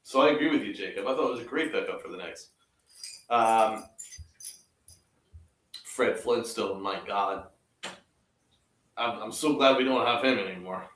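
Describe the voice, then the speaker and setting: A man's voice: lowish voice, moderate pace, long pauses, fairly bright tone. A man co-hosts a live basketball watch-along, chatting casually and mostly giving easygoing agreement. His voice comes from a distance in an echoey room, off the mic. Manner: laid-back, short agreeing replies. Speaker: co-host. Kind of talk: casual chat.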